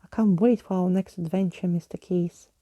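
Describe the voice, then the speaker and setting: A woman's voice: lowish voice, fairly even intonation, normal speed, dark tone, dry recording, lightly acted. A woman voicing an eager, imaginative young girl in a children's story, with informal, direct speech. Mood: cheerful.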